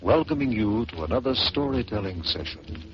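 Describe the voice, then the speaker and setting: A man hosting an old radio storytelling show, reading a ghost story aloud in a measured, theatrical way. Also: fairly low pitch, sing-song. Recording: muffled old radio broadcast with hiss.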